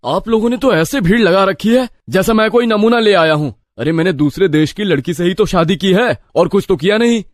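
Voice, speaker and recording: A man voicing plain-spoken, excitable young village men in a moral story. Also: sits high, brisk, few pauses, dry recording, close to an everyday voice. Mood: annoyed, brisk. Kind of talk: animated moral story dialogue.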